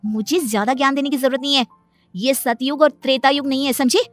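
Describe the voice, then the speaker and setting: A woman voicing a spiteful, sharp-tongued young stepmother in a cartoon story, scolding and dismissive. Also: sits high, a little fast, soft background music, pitched above the performer's own voice, natural voice. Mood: scornful.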